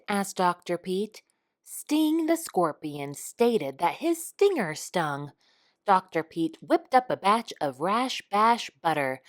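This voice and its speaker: A woman reading a children's picture book aloud in an expressive storytime style. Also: mid-range voice. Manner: expressive, unhurried. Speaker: storytime reader.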